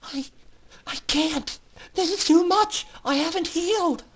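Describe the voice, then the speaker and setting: A man's voice: very high voice, unhurried, pitched above the performer's own voice. A man voicing a terrified young female pegasus filly, fragile and pleading, in a dramatic story reading with urgent, panicked delivery. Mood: fearful, pleading. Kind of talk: dramatic fan fiction reading.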